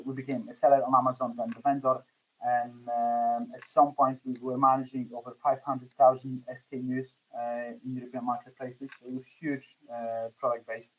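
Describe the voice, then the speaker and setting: A man's voice: mid-range voice, a bit bright, lo-fi sound. A man in his forties with a Polish accent, a startup founder answering interview questions and hesitating often with fillers. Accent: Polish, with some Scottish influence. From Poland. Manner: hesitant, many fillers and restarts. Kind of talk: podcast interview.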